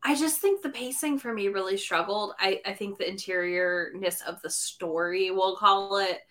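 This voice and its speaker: Casting note: woman podcast host, bright, forceful tone, chatty and self-correcting. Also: mid-range voice, normal speed. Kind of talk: casual book discussion.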